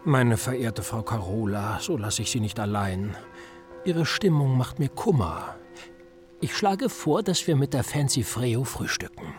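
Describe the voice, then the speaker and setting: An actor in a German radio play playing a courteous, solicitous gentleman of society with a gallant, formal, cultivated manner. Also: medium pitch, animated, unhurried, faint music behind, close to an everyday voice. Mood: gallant concern.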